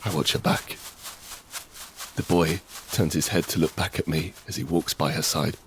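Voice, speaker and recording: An actor playing an injured, desperate father in a first-person audio drama, halting as he speaks. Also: rumbling low, fairly even intonation, slight background noise. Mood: pained, grave narration. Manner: halting, repetitive, pleading in dialogue. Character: injured, desperate father pleading to save his family.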